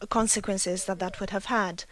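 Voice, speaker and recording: A woman's voice: mid-range voice, steady tone, normal speed, fairly bright tone. A woman from Malta, giving an argumentative statement at a formal meeting, often restarting her sentences. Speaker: meeting delegate.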